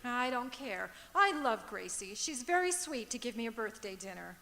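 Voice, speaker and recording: A woman playing a wry, sharp-tongued wife in an old-time radio sitcom. Her voice is bright and highish, with lively, emphatic swings, ringing through a big auditorium. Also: moderate pace, heavily acted. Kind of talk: old-time radio comedy acting on stage. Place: stage of a performing arts auditorium. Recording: echoey auditorium with long reverb.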